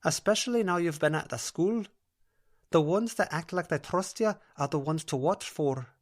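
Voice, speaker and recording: A woman's voice: sits low, moderate pace, pitched above the performer's own voice. A woman voicing a soothing, earnest female dream-walker with a broad Scottish accent in an audiobook. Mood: warning.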